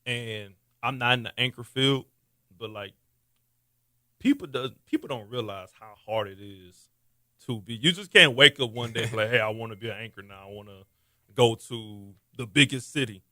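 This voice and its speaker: Chatty, bright-voiced young male host. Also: mid-range voice, moderate pace, dry recording, studio quality. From Missouri City, Texas. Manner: loose, chatty, often loud and enthusiastic. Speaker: podcast host. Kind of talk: casual interview talk. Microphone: podcast studio mic.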